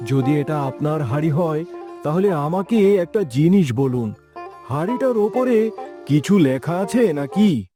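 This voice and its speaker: Slow, high-voiced honest farmer played by a man. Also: expressive, mellow, faint music behind. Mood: challenging. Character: honest, humble village farmer, selfless and earnest. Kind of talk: moral cartoon dialogue.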